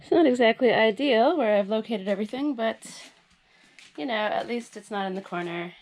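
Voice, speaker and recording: A woman's voice: fairly high pitch, animated, moderate pace, darkish tone, poor audio. A woman with a stuffy nose from a head cold, chatting casually and self-deprecatingly as she works on her tiny house. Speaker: DIY vlogger. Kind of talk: casual vlog narration while working.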